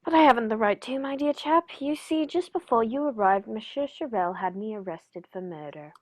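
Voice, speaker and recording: Woman as gentleman detective, calm, courteous, precise, slightly high pitch, old radio-play style. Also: fairly quick. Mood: composed. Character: composed, courteous English male master detective. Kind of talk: 1940s radio mystery acting.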